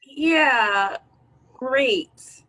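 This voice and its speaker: A woman playing a calm, persuasive colleague who keeps encouraging a nervous friend. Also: sits high, very slow, heavily acted, rough recording. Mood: coaxing. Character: coaxing, encouraging female colleague.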